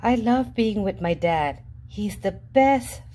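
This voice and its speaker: A woman reading a children's picture book aloud in a lively, sing-song storybook voice with playful jumps in pitch. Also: slow. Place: indoors at a table, reading an open book. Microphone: camera above the book, reader unseen.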